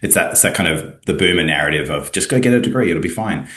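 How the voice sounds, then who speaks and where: A man in his thirties with an Australian accent, a guest in a casual interview, talking haltingly with many fillers and restarts. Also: low-pitched, animated, a bit bright, echoey room. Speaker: guest, academic and author.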